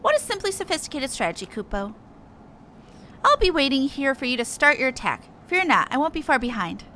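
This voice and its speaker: A woman's voice: high-pitched, very animated, fairly quick, pushed and bright, a little hiss or hum, full character voice. A woman voicing a boastful, gallant little male moogle adventurer from a video game, with a pompous manner. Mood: excited, proud. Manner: pompous, florid heroic speech. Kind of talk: reading game dialogue in character.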